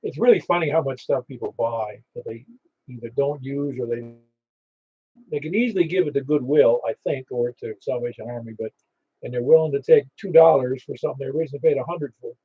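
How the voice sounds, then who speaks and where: A man running a ham radio net, chatting in a relaxed way and reading out call signs, heard over a muffled radio receiver. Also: mid-range voice, a little fast, breathy edge. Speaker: net control operator.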